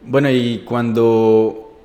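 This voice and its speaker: A man's voice: mid-range voice, little pitch movement, drawn-out words, warm tone, big-room sound. A man hosting an interview, asking courteous, probing questions. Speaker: interview host.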